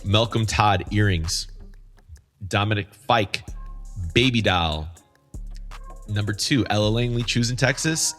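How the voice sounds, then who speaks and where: A man's voice: fairly low pitch, measured pace, pauses often, a bit bright, soft background music. A man moderating a casual podcast chat in an informal, joking way. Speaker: podcast host, DJ.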